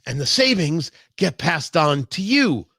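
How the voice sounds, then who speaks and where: A man's voice: fairly high pitch, sing-song, a little slow, pushed and bright, slightly rough, dry recording, clean studio sound. An American man delivering an opinionated political monologue as a radio host, emphatic and theatrical, repeating phrases for effect. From New York and New Jersey, United States.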